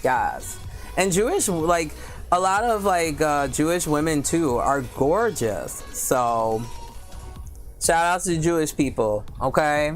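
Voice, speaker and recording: A woman with a deep voice hosting a casual book livestream, chatting candidly with viewers and reading their comments aloud. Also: expressive, faint music behind. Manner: speaks slowly with many fillers.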